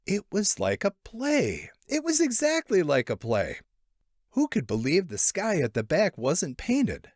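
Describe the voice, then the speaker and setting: A man narrating a literary short story in an expressive, theatrical way. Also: medium pitch, dry recording, clean studio sound. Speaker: audiobook narrator.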